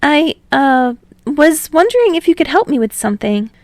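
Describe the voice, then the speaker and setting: A woman voicing a bright, eager, slightly sheepish young man in an audiobook, with an energetic, bubbly manner. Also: high-pitched, normal speed, dry recording, pitched above the performer's own voice. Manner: energetic, bubbly, hesitant when asking. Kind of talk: audiobook character voice.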